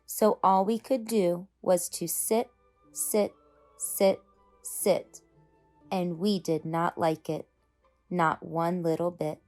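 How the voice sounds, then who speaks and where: A woman's voice: on the low side, slow, long pauses, soft background music. A woman hosting a story time, speaking expressively and emphatically to young children. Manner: animated, emphatic, addressing children. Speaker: host reading children's stories.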